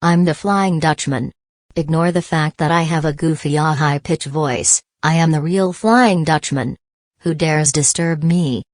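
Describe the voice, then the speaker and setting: A goofy ghost pirate captain with a squeaky, raised voice, put on by a man. He growls threats in a silly pirate drawl that never sounds truly scary. Close and dry, with no room around it. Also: a little slow, dry recording, close to an everyday voice. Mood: mock menacing. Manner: mock-menacing pirate talk, comically over the top.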